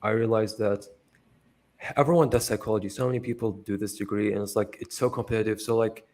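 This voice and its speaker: Young man from Iraq, low-pitched, loose and rambling, fillers everywhere, casual swearing. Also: steady tone, fast talker, darkish tone. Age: young adult. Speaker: interview guest. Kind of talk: casual conversational interview.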